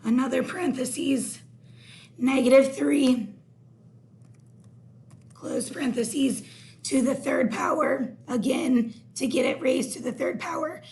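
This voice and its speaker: A hoarse woman teaching a recorded math lesson, explaining each step slowly. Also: sits high, fairly even intonation, a little mellow.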